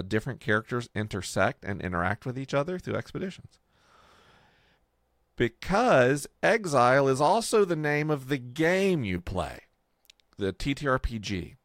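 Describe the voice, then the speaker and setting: A man's voice: on the low side, no echo, clean studio sound. A man explaining a fictional world in a casual, enthusiastic discussion as a host and creator.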